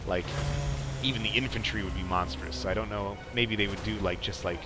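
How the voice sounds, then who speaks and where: A man's voice: fairly low pitch, fairly even intonation, a little fast, loud music behind the voice. A young man giving casual, rambling gameplay commentary as a podcast host, often saying "like". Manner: rambling, peppered with "like". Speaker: podcast host playing a strategy game.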